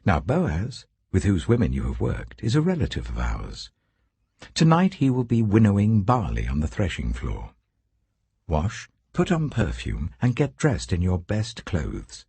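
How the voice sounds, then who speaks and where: Older mother-in-law male reader acting, caring and shrewd, giving motherly instruction, husky and expressive, audiobook scripture. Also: low-pitched, measured pace, dry recording, exaggerated voice. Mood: advising.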